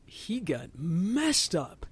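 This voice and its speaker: A man's voice: high-pitched, wildly swooping pitch, very slow, slightly rough. A man co-commentating on a video game, chatting casually with dry, sarcastic humour. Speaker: let's play co-commentator.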